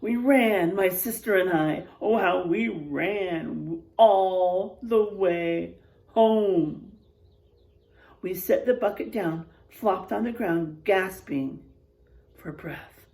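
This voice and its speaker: Woman teacher reading aloud, slow and animated, mellow, echoey room. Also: fairly low pitch, gravelly. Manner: slow, asks children questions. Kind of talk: children's storybook read-aloud.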